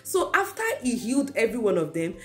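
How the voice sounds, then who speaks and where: A woman's voice: on the high side, fast, faint music behind. A young woman teaching a children's church class, retelling a Bible story to small children with lots of repetition and questions. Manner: animated, repeats key phrases for kids. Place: indoor room at home.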